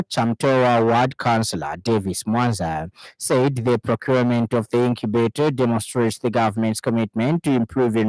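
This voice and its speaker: Low-voiced Zambian man reading radio news. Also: darkish tone, no echo, rough recording. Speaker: news presenter. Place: radio studio.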